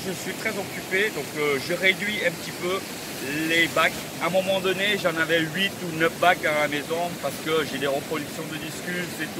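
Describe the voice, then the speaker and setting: Higher-voiced man over loud noise. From Vietnam, of Chinese descent, moved to France at fourteen. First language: not French, learned French as a teenager. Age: 50s. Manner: halting, non-native French phrasing with repeated phrases. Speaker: YouTuber answering viewer questions. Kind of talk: casual vlog Q&A chat. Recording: loud wind and ambient outdoor noise.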